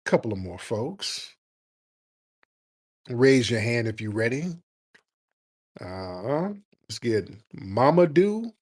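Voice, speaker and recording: Male host, brash and mocking, fast lively delivery. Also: mid-range voice. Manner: combative, mocking, animated. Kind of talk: live call-in talk show.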